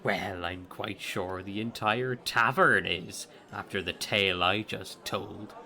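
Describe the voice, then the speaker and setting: Upstart pirate captain, boastful bully, slow deliberate pace, taunting, indignant, audio play theatrics. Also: male voice, lowish voice, sharp tone, exaggerated voice. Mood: suspicious swagger. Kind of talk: theatrical audio drama dialogue.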